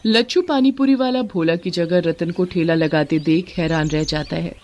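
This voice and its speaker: A woman reads a scripted moral tale as its narrator. Her voice sits a little low for a woman, and the recording carries some noise. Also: moderate pace, a little mellow. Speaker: story narrator.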